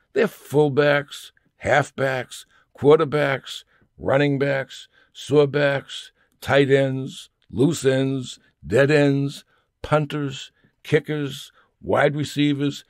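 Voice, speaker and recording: Man with gravelly, creaky voice, wry delivery. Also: medium pitch, darkish tone, no echo, studio quality. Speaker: humorist reading own comic essay. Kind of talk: scripted humorous monologue, read aloud.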